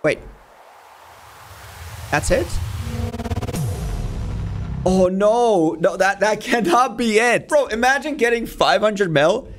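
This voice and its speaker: A man in his twenties giving casual, jokey reaction commentary in informal language, as a video host. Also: sits high. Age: 20s. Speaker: YouTube host reacting to game cutscenes. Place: small home room, indoors.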